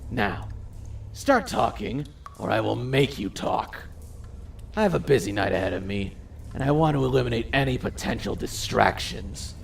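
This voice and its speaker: A man voices a sarcastic, lordly gang leader in an audio drama. His gravelly voice fries heavily and moves in lively swings, with formal, condescending phrasing. The mic sounds a bit far away. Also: medium pitch, moderate pace, pauses often, a little hiss or hum. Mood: smug. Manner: condescending, mock-polite, formal wording. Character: haughty, sarcastic, commanding male supernatural gang leader. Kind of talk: audio drama character acting.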